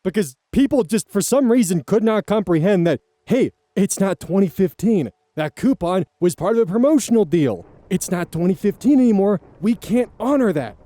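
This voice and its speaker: A man reading online stories aloud as host, adding blunt, profane commentary in a casual, animated way. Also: high voice, normal speed, hardly any pauses, no echo, clean studio sound.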